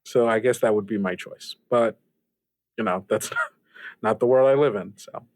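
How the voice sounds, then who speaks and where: A man playing a polite, cautious, duty-bound character in improvised roleplay, hesitant and measured as he speaks. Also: lowish voice, darkish tone, no echo, clean studio sound, lightly acted. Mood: reluctant, resigned. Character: polite, cautious host, resigned to duty, wary of temptation. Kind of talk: improvised actual-play roleplay dialogue.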